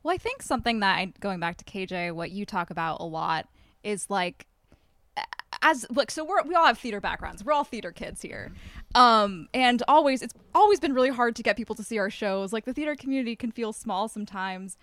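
A woman's voice: medium pitch, lively intonation, brisk, a bit bright, no echo. Friendly woman in a casual chat. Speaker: guest, theater company member.

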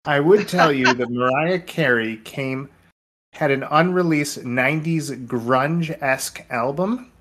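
A man's voice: medium pitch, unhurried, a bit bright. A man co-hosting a podcast, chatting casually with wry, playful turns of phrase. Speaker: podcast co-host. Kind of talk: casual movie podcast chat.

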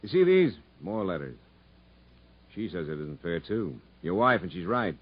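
A man playing a blunt, commanding prison camp captain in a 1950s radio drama, unyielding and husky-voiced. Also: low voice, huge pitch swings, moderate pace, full character voice. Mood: scolding. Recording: muffled vintage radio broadcast.

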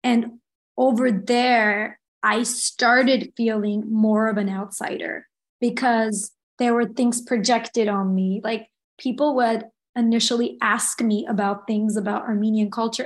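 A young woman from Los Angeles, a guest speaking softly and reflectively in a casual interview, with many fillers. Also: on the high side, fairly even intonation, normal speed. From Los Angeles, United States. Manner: soft-spoken in English.

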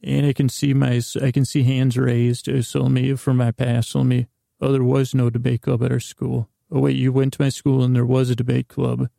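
A male podcast host with a very gravelly, fry-laden voice and a narrow, even pitch range. He rambles through a bedtime monologue, halting and interrupting himself in a silly, meandering way. The sound is dry and close to the room-free ideal. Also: no room sound. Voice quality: gravelly, creaky.

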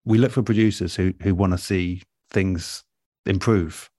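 A man with a low voice talks as a guest in a relaxed interview. He is thoughtful and articulate, measuring his answers with pauses. The sound is dry, with very little room to it. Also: no room sound. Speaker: guest, radio and podcast producer.

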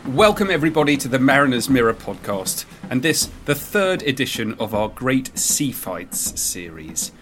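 Man, British accent, podcast host, scripted history narration, mid-range pitch, measured emphatic delivery. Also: big pitch swings, a bit bright.

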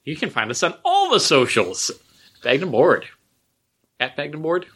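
An animated man hosting a podcast, his voice swinging widely up and down as he tells a story. His tone is bright and forward, with frequent laughs. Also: on the high side, moderate pace, slightly rough. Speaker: podcast host. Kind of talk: casual podcast chat.

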